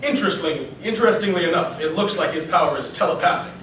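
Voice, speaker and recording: High-pitched male narrator in an echoey room. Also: lively intonation, fast talker, a bit bright. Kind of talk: scripted comedic story narration, read live. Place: panel room at an anime convention. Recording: very echoey room, distant mic, faint noise.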